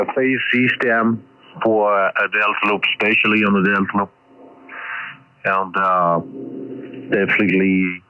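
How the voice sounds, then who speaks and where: A man with a non-native English accent talking technically as an amateur radio operator, halting and searching for words, heard over a radio transmission. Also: lowish voice, dull audio. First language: not English. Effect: ham radio transmission. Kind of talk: ham radio contact, technical talk. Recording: roomy, band-limited shortwave radio transmission.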